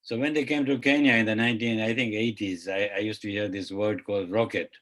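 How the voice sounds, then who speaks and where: A man with a fairly low voice speaking as a panelist over a video call. He talks in a reflective, hedging way and often lets his sentences trail off. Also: fairly even intonation, brisk, fairly bright tone. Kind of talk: online panel discussion.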